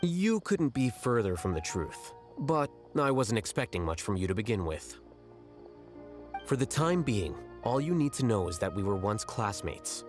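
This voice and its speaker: An aloof young scholar from a video game, with a lowish voice. He is measured, logical and faintly condescending, with clear music playing behind him. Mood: dry, dismissive. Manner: measured, matter-of-fact, condescending.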